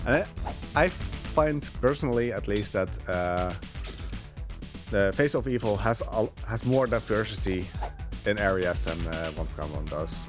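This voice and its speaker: A man with a lowish voice commentates casually on his own gameplay. He rambles with hesitant asides while concentrating on the run, and game music plays clearly behind him. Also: lively intonation, a little slow. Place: live gaming marathon event, game audio behind.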